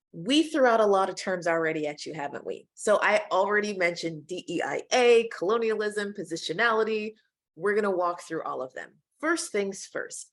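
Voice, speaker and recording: A middle-aged American woman moderating and presenting in a webinar, speaking in a measured, inclusive way straight to the audience. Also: lowish voice. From United States.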